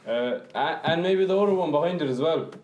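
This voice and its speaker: An Irish man playing a mild, gently disapproving character in casual radio drama dialogue. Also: on the high side, lively intonation, a little fast, dull audio, lightly acted. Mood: mild, resigned.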